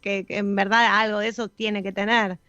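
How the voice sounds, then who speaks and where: A woman's voice: mid-range voice, level tone, moderate pace, pushed and bright, dull audio, soft background music. A woman chatting casually as a radio co-host. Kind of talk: casual chat.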